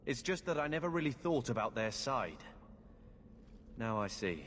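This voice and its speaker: Reverberant space, faint noise, mid-pitched male voice, brooding earnest warrior, defensive, fantasy game. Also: sing-song, normal speed, fairly bright tone. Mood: troubled. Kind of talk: dramatic fantasy video game acting. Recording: hall reverb, noisy ambience.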